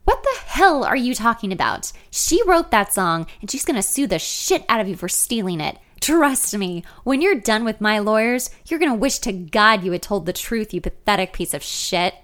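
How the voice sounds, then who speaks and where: A woman voicing a fiercely protective, combative female friend in a story, loud and confrontational. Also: mid-range voice, animated, fast, few pauses, smooth, lightly acted. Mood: outraged.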